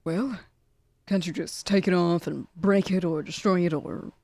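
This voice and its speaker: Assassin , played by a woman: roguish troublemaker, lowish mellow voice, dry close sound, dramatic and wheedling. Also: steady tone, unhurried, gravelly, no echo. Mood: frustrated. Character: roguish, pleading assassin, the queen's troublesome cousin. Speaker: voice actor in an audio roleplay. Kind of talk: ASMR audio drama acting.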